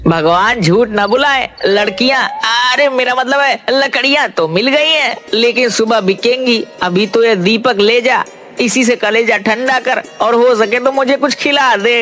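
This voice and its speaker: An actor voicing a poor, simple village man in an animated folk tale, folksy and pleading. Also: mid-range voice, a little slow, faint music behind, natural voice. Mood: flustered. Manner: pleading, folksy, repeats a pet oath. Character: poor, timid, simple male village woodcutter.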